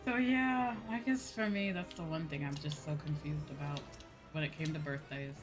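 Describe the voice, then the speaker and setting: Girl streamer w a pretty low voice just rambling casually over game music. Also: fairly even intonation, normal speed. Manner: rambling, informal, thinks aloud. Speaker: livestreamer playing an online game. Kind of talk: casual livestream chat with game commentary. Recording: music behind the voice.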